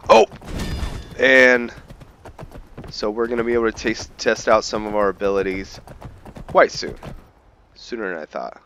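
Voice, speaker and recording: A man giving casual gameplay commentary, thinking aloud in halting fragments as he hosts a gaming video. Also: on the low side, a bit bright, lo-fi sound, slight background noise. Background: game music and sound effects.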